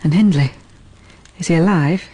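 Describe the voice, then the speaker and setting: An actress playing a sensible, compassionate housekeeper who narrates a radio drama in a low, grave, measured voice. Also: female voice, dark tone, slightly rough. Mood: concerned.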